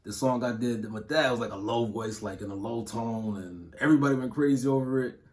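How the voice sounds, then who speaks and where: A man's voice: mid-range voice, a little fast. A man in his thirties from Ohio, a music producer answering interview questions in a loose, casual way with plenty of fillers and slang. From Toledo, Ohio. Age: 30s.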